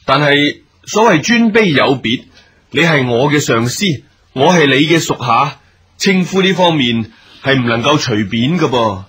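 A man's voice: on the high side, expressive, a little slow, fairly bright tone, breathy edge, exaggerated voice. A man acting a respectful, principled junior officer and devoted son in a period radio drama, in deferential Hong Kong Cantonese. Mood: respectful, firm. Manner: deferential, formal.

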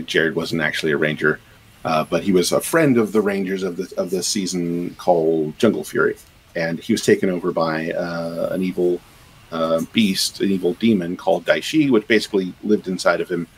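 A middle-aged man with a low, husky voice chats as a guest on a video-call interview. He rambles, circling back with fillers and restarts. He sounds like he is speaking from a small room at home. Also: fairly even intonation, normal speed. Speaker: game composer and sound designer, guest. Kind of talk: casual podcast interview.

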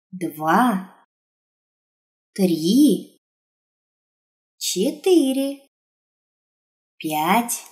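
A woman narrating an educational cartoon for young children, counting in short, deliberate, clearly separated phrases. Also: a little high, darkish tone.

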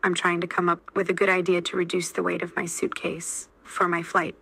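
A woman's voice: on the low side, completely flat delivery, fairly quick, gravelly, fairly bright tone, slightly rough, no room sound. A woman's AI-generated voice repeating model sentences steadily for a language lesson. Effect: AI text-to-speech voice. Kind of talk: scripted sentence drills.

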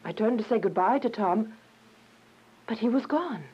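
An actress playing a devout, earnest young woman imprisoned for her faith in a religious radio drama, emotive and deliberate. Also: fairly high pitch, expressive, breathy edge. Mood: wistful. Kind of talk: religious radio drama acting with first-person narration. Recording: dull lo-fi radio drama with music.

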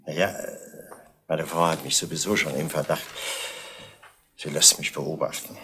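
An actor playing an embarrassed, well-to-do consul in a 1970s radio play, hesitant and polite. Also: male voice, a bass voice, little pitch movement, moderate pace, sharp tone, slight background noise. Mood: nervous. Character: flustered, well-to-do philandering consul.

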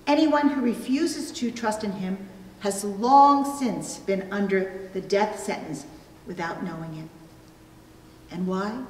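Unhurried female preacher in an echoing hall. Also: mid-range voice, a little mellow, slight background noise. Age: middle-aged. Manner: deliberate phrasing with pauses for emphasis. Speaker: pastor. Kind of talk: sermon with illustrative stories. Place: church pulpit indoors, recorded from a distance. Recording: echoey hall, long reverb.

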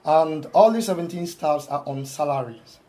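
A man's voice: a little high, normal speed, warm tone. A man giving an interview answer as orphanage staff. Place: small indoor room.

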